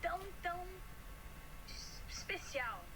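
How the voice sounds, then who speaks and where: A woman with an American accent, talking in a warm, effusive and emotional way in casual conversation. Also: very high voice, big pitch swings, slow, over music. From United States. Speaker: interviewee. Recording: noisy clip from another video.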